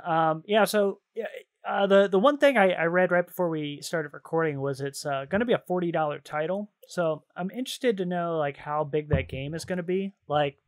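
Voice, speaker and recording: A man's voice: on the high side, normal speed, no room sound. A man hosting a video game podcast, chatting casually and enthusiastically in informal slang. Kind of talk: casual chat about video games.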